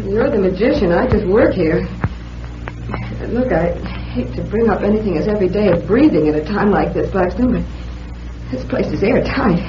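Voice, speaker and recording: A plucky American magician's assistant, played by an actress in a vintage radio mystery. She is pert and sardonic, full of quips, in a mid-pitched voice on a muffled, echoey, noisy recording. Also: expressive, moderate pace, close to an everyday voice. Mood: wry, uneasy. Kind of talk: old-time radio mystery acting.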